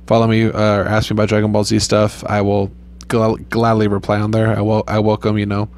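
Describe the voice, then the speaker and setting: A young man casually talking over his mobile gameplay in a rambling, laid-back way, with a flat, low-key voice and loose swearing. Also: a little fast. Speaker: gaming YouTuber.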